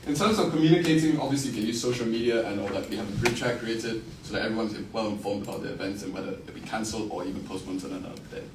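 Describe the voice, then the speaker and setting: A man's voice: on the low side, very fast, few pauses, distant mic. A young man in his twenties from Singapore, with a British-leaning Singaporean accent, giving a wry, self-mocking campaign speech and answering questions with many fillers. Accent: Singaporean-tinged British English. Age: 20s. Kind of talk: joking election speech, then answering questions. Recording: echoey room, from afar, noisy.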